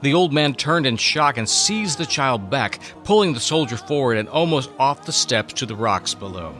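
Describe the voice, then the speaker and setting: A man's voice: medium pitch, expressive, moderate pace, fairly bright tone, soft background music. A man giving a solemn, theatrical scripted reading of a Bible story as narrator. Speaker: narrator and co-host.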